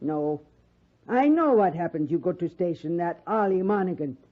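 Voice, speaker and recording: Stern, scolding frontier wife. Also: female voice, fairly low pitch, lively intonation, normal speed. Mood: stern, warning. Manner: clipped broken English, bossy. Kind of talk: 1950s radio Western acting. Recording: muffled 1950s radio recording.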